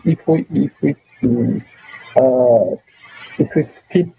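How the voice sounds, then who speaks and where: Gravelly Moroccan-accented man, hesitant. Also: mid-range voice, takes it slowly, mellow, poor audio. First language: Arabic. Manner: hesitant, many fillers and repeated words. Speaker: tourism board representative. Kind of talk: interview answers.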